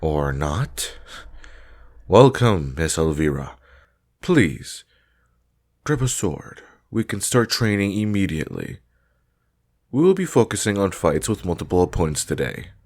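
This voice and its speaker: A deep male voice acted by a man for a fantasy battle trainer. He is stern and demanding, with formal, clipped commands that expect to be obeyed. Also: sing-song, unhurried, pauses often, darkish tone, full character voice. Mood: commanding. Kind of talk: scripted fantasy audio roleplay.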